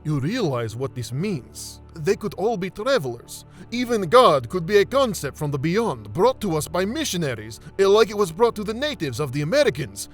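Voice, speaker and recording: A man acting in an audio drama as an earnest, devout scholar, speaking deliberately and with dramatic emphasis. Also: high voice, very animated, breathy edge, soft background music, full character voice. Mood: fervent. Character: earnest Middle Eastern man, devout scholarly friend and host.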